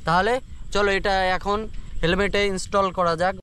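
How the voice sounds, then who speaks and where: A young man with a slightly high voice talks in casual vlog style. He addresses the viewer like a buddy, with repeated filler phrases. The outdoor recording has some background noise. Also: flat delivery, normal speed, sharp tone. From rural India. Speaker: moto vlogger. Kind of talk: casual unboxing vlog chat to camera. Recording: noisy outdoor ambience.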